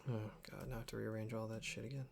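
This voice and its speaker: A man's voice: lowish voice, flat delivery, very fast, vocal fry. A young man thinking aloud in a casual live stream as he works at his computer, distracted and prone to swearing. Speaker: video editor streaming live. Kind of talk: casual live-stream muttering while working. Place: indoor room at a computer desk. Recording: clicks and keyboard noise. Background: mouse clicks, keyboard typing.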